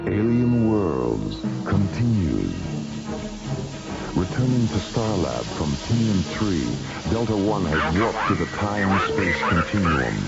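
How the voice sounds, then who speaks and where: A man's voice: sits low, sing-song, slow, gravelly, exaggerated voice. An actor playing a grave, ominous male narrator of a space adventure radio serial. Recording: dull lo-fi radio recording, music behind.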